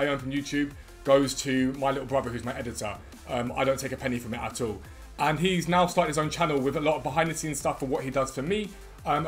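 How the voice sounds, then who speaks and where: A man in his thirties with a slightly croaky voice, chatting quickly and eagerly to viewers as a gaming YouTuber, recorded at a desk in a room at home. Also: on the high side, fairly bright tone. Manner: rapid, chatty, enthusiastic. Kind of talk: energetic casual chat to viewers.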